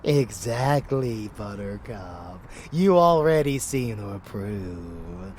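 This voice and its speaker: A man playing a haughty, seductive and menacing vampire lover in an intimate ASMR roleplay, with breathy, teasing murmurs. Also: lowish voice, lively intonation, a little mellow, slight background noise. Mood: playful, smug. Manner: breathy whispering murmurs, slow and deliberate, teasing.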